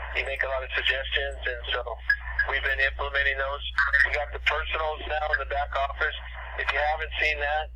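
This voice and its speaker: A man's voice: mid-range voice, pushed and bright, dull audio, a little hiss or hum. A man informally presenting and taking questions as host of a business conference call, speaking plainly and often rephrasing himself, recorded over the telephone. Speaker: business owner hosting a team conference call.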